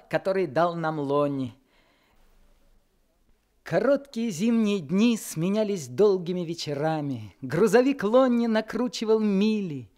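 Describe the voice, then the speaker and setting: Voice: medium pitch, darkish tone, close to an everyday voice. A hopeful teenage boy tells his own story, his voice rising and falling a great deal, as an actor plays him. He speaks in a wistful, storytelling way in an old radio play.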